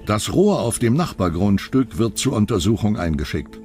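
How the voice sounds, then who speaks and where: A man's voice: on the low side, big pitch swings, normal speed. A man narrating a scripted true-crime documentary as its narrator. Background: background music.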